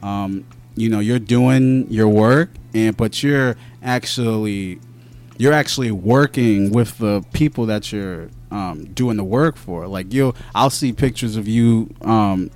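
A man hosting a casual interview, laid-back and halting, with many restarts. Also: on the low side, a little mellow. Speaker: podcast host.

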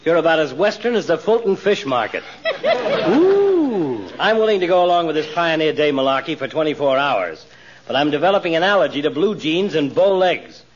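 Blustery, swooping sarcastic old-radio doctor. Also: male voice, on the high side, very animated, normal speed. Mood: exasperated sarcasm. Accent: American. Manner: blustery, mock-exasperated insults. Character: grumpy, sarcastic small-town doctor, male. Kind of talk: 1940s radio comedy acting. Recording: dull vintage radio, studio audience.

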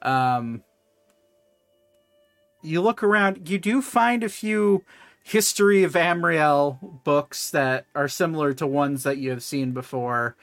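A man's voice: on the high side, a bit bright, no echo, faint music behind. Man narrating a tabletop game, dry close sound. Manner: halting, conversational, self-correcting. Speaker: game master.

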